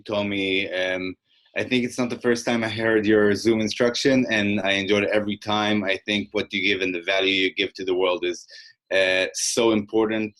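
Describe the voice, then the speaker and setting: Webinar presenter: man, lowish voice, chatty, heard over a video call. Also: a bit bright, rough recording. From Australia, half. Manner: conversational, many fillers, presenting slides. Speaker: webinar speaker.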